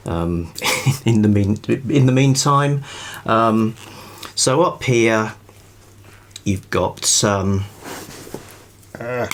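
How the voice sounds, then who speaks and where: A British man rambling informally about books to camera in a halting, thoughtful, wryly amused way. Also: lowish voice. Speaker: book review vlogger.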